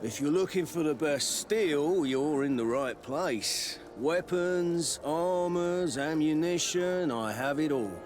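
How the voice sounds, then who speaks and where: A man's voice: fairly high pitch, animated, slow pace, few pauses. A man playing a wary, blunt armorer in a video game, a guarded salesman. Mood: hawking wares. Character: wary, blunt male armorer and weapons merchant. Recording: music behind the voice.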